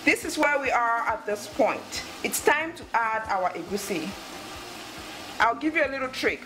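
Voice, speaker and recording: A woman's voice: fairly high pitch, expressive, moderate pace, noisy background. A woman hosting a cooking show, giving step-by-step instructions in short phrases. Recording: music under the voice.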